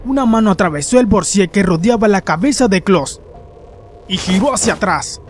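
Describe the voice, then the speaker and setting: A man's voice: sits high, lively intonation, moderate pace. A man narrating a scripted, dramatic story. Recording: faint music behind. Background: background music.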